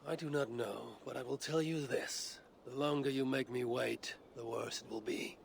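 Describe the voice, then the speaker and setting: An actor playing a self-assured, stern and wry Norse god with a gravelly voice, in a video game cutscene. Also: male voice, medium pitch, moderate pace, a little hiss or hum, close to an everyday voice. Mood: stern, commanding.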